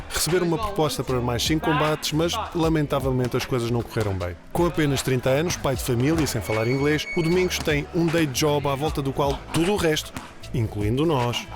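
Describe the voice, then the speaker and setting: Brisk, teasing male host with a European Portuguese accent. Fairly high voice, music behind. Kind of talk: casual interview and voice-over narration.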